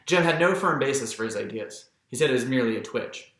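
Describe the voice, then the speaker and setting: A man's voice: mid-range voice, lively intonation, fast talker, fairly bright tone, roomy sound. A man reading a literary audiobook as narrator, in scripted storytelling style. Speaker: audiobook reader.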